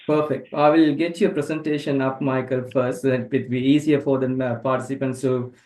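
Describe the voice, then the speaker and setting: A man's voice: mid-range voice, steady tone, a little fast, darkish tone, poor audio. A man hosting a webinar, introducing his guest and handling the slides in a polite, brief way. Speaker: webinar host.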